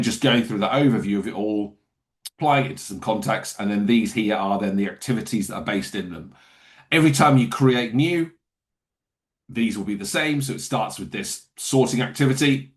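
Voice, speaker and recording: A man demonstrates software in a live webinar, his voice on the lower side. He talks casually, with loose phrasing, fillers and quick self-corrections. It sounds like a desk or headset mic on a call. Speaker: software trainer. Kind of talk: webinar screen-share walkthrough.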